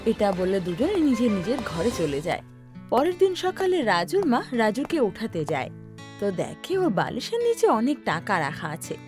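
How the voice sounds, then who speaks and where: A woman's voice: medium pitch, huge pitch swings, normal speed, over music. A woman reading scripted narration for a cartoon story as its narrator.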